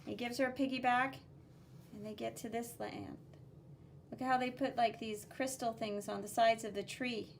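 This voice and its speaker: A woman teacher reading a picture book aloud to children, explaining the drawings in a simple, instructive, expressive way with sing-song emphasis on key words. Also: on the high side, moderate pace, gravelly, slight background noise.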